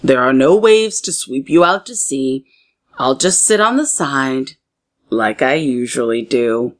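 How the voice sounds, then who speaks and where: A woman voicing a kind, nervous father in a storybook, speaking gently and reassuringly to his young daughter. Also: extremely low pitch, lively intonation, measured pace, pitched below the performer's own voice. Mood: gently encouraging.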